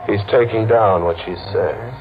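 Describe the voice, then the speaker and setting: A man's voice: fairly low pitch, measured pace, close to an everyday voice. An actor playing a desperate, frantic man who narrates his own doom in a 1940s radio drama. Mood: haunted. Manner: frantic and pleading, then hushed inner monologue. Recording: dull old radio recording with music.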